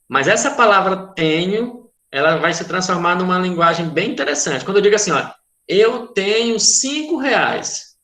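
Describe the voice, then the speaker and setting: A man's voice: sits high, expressive, normal speed, bright, forceful tone, reverberant. A man with a Brazilian Portuguese accent, a math teacher explaining a word problem in an online class, patiently repeating and checking that students follow.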